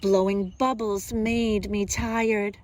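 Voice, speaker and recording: A woman kindergarten teacher speaking simply and expressively to young children, in a deliberate storytime manner. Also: medium pitch, flat delivery, a little mellow, slight background noise. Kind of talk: children's storybook read-aloud and simple instruction.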